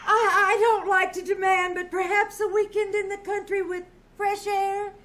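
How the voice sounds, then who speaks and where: A woman's voice: extremely high pitch, level tone, moderate pace, a bit bright, a little hiss or hum. A woman playing a melodramatic, whiny middle-aged wife in an old-time radio comedy, with plaintive theatrical sighs. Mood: feigning weakness. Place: live performance on a small theater stage.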